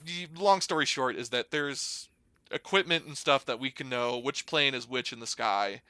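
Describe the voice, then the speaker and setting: Bright-voiced American man, informal and rambling. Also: medium pitch, normal speed, clear, resonant tone, no room sound. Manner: informal, rambling, hedging with slang. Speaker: podcast guest, air traffic controller. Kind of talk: casual conversational storytelling and explanation.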